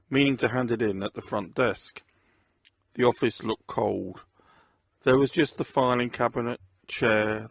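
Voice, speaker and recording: A man's voice: lowish voice, vocal fry, no echo, studio quality. A man reading scripted first-person narration of a crime story.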